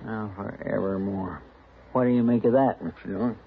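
A drawling gravelly sidekick. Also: male voice, lowish voice, steady tone, moderate pace. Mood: folksy. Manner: drawling, hesitant, rustic grammar. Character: folksy, eager deputy sidekick, young man. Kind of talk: 1950s radio drama acting. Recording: tinny old radio broadcast with hiss.

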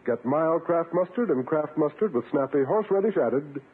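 Raspy, animated male radio pitchman. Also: moderate pace. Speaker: advertising announcer. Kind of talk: 1950s radio commercial read. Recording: tinny vintage radio broadcast.

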